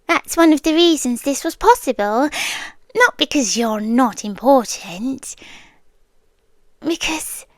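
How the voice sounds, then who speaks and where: British woman playing a soft-whispering obsessive captor. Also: high-pitched, expressive, takes it slowly, long pauses, no echo. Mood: calm obsessive. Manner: soft-spoken, often slipping into whispers. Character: obsessive romantic young woman kidnapper, calmly sincere. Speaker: ASMR roleplay creator. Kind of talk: ASMR roleplay.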